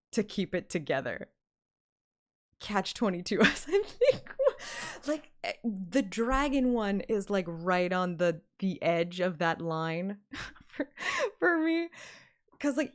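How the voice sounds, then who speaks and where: A woman's voice: mid-range voice, clear, resonant tone. A woman livestreamer chatting casually and playfully, often giggly and interrupting herself as she talks to viewers. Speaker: live streamer and fan reader.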